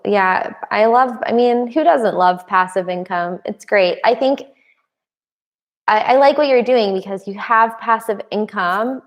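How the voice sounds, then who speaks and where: A young adult woman hosting a casual live stream Q&A, reading out viewer comments and answering them with frequent laughs. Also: medium pitch. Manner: laughs often between remarks.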